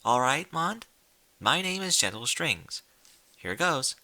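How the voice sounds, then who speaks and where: A man acting nervous lone mare, female pony, raised pitch, bright edgy tone, wary, storybook reading. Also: sing-song, slow pace, full character voice. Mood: wary, softening. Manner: nervous, challenging.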